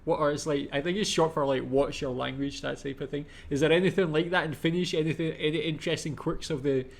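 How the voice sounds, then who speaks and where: A man's voice: a little high, fast talker, vocal fry. A man from the UK, casually commenting on a comedy clip as a reaction video host. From United Kingdom. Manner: relaxed, chatty, laughs along.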